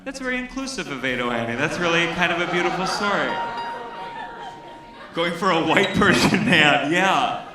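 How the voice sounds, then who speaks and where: A man's voice: on the high side, expressive, normal speed. A man hosting a live comedy show, bantering with the audience from the stage. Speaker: host, stand-up comedian. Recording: echoey live venue, noisy room.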